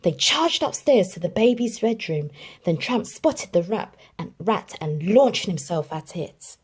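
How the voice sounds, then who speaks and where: A woman reading a children's storybook aloud in an expressive storytelling manner. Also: medium pitch, measured pace, husky. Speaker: children's book reader.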